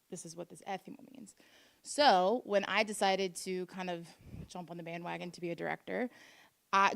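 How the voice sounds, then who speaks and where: A woman's voice: medium pitch, creaky, a bit bright. A woman and dance group director making an eager, chatty comment at a forum microphone, sprinkling her speech with "like". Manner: enthusiastic and chatty, with many "like"s and restarts.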